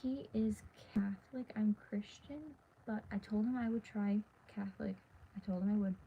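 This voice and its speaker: A teenage girl livestreaming from her dark bedroom at night, talking in a tired, half-whispered ramble while losing her voice. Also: medium pitch, steady tone, normal speed, creaky. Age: teens. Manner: hushed, half-whispered and rambling with many fillers. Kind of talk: casual late-night livestream chat. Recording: dull lo-fi phone audio with faint hiss.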